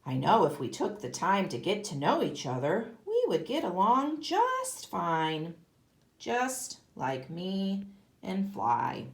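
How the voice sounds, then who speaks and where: A woman's voice: medium pitch, huge pitch swings, takes it slowly, heavily acted. Woman reading as an earnest little spider boy, lilting. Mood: earnest, wistful. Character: young boy spider reading his diary aloud, earnest. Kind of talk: storybook read-aloud.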